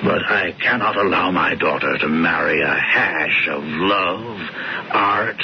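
A man's voice: low-pitched, slow pace, creaky, heavily acted. An actor playing a stern, dismissive, wealthy older father in a 1970s radio drama. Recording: muffled vintage radio broadcast with noise.

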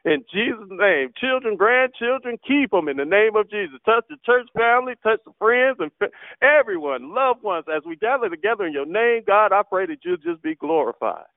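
A man's voice: sits high, lively intonation, normal speed, dry recording. Man praying fervently over a phone line. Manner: impassioned, repetitive, frequent hallelujahs. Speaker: prayer line leader. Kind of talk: fervent spoken prayer. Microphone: telephone. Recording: tinny phone line, dry.

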